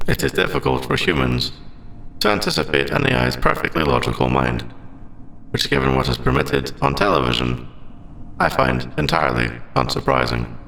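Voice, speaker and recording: ASMR drama role: male AI android performed by a man, heavy vocal fry, varied pitch, formal and deliberate, faint noise behind. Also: wildly swooping pitch, pushed and bright, heavily acted, poor audio. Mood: puzzled, analytical. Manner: stilted, precise, deliberate robotic phrasing. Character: formal, logical male android AI, secretly sentient and earnest. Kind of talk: scripted ASMR audio roleplay.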